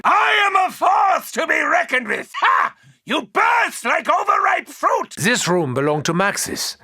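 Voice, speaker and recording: An actor playing an eccentric, haughty German mad scientist doctor in a video game, theatrical and grandiose, with a German accent. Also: male voice, high voice, creaky, sharp tone, no echo, studio quality, exaggerated voice. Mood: triumphant fury. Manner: theatrical, grandiose, self-important. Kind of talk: video game character quotes.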